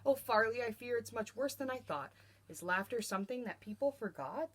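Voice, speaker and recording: A woman reading a storybook, voicing an earnest, hopeful male court jester in expressive rhyme. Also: on the high side, fairly quick, pitched above the performer's own voice. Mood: worried.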